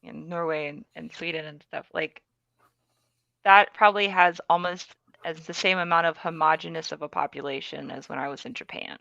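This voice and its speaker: A woman hosting a casual podcast chat, talking in a bubbly, enthusiastic way and quick to praise her guest. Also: low voice, steady tone, vocal fry, bright, forceful tone, no echo. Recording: dull dry recording.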